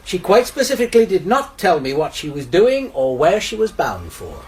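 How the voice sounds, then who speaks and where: An actor playing a breezy, authoritative police sergeant in a radio drama. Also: male voice, high voice, wildly swooping pitch, moderate pace, a little mellow. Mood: firm.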